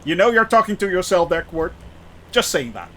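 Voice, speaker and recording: A man's voice: high-pitched, very animated, normal speed, a bit bright, a little hiss or hum. A man with a thick Dutch accent chatting casually on a live stream, hesitant with many restarts and frequent laughter. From Netherlands. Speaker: flight sim streamer.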